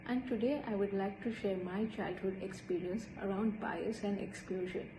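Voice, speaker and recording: A woman's voice: medium pitch, moderate pace. Indian woman telling a personal story. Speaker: student presenter. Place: plain room indoors. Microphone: laptop camera at desk. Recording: echoey room with clear hiss.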